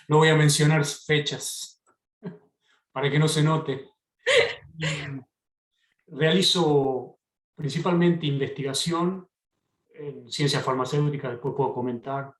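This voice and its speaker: A middle-aged man with an Argentine accent, a professor speaking politely and composed on a video call, with a touch of humor. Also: mid-range voice. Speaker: professor, panelist. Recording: echoey room.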